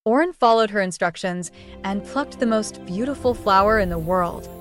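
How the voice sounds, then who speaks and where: A woman narrates a fairy tale from a script in a medium-pitched voice. Music plays clearly behind her as she tells the story. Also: animated, moderate pace. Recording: music under the voice. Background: prominent music.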